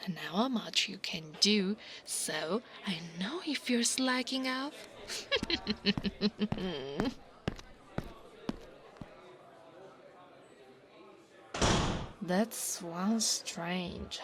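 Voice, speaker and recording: Woman acting a slow, taunting dragon-girl bully. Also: mid-range voice, fairly bright tone. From Italy. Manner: taunting, slow drawling delivery. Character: insecure, mocking young female dragon bully. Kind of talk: ASMR roleplay.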